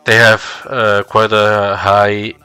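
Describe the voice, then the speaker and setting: A man's voice: fairly low pitch, monotone, slow, sharp tone, clean tone, dry recording. A man from Spain whose native language is Spanish, chatting casually and searching for his words as he goes. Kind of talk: casual chat.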